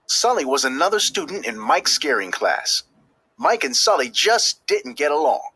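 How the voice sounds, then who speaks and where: A man reading a children's story aloud as scripted narration, with expressive, emphatic delivery that draws out key words. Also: on the high side, breathy edge, no room sound. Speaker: storybook reader.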